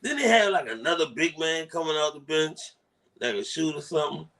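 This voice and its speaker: A man's voice: on the high side, big pitch swings, fairly quick, fairly bright tone. Blunt male co-host, mid-range voice, laughs a lot, curses freely. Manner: blunt, swears casually, laughs often. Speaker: podcast co-host. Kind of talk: casual sports debate chat.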